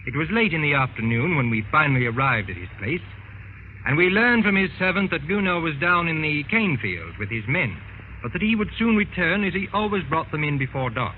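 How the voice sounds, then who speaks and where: A dull, noisy old radio recording carries a haunted investigator. The delivery is theatrical and ominous, fairly high and bright, swinging widely as the grim tale unfolds in old radio drama style. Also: male voice, sing-song, brisk. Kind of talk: vintage radio drama acting.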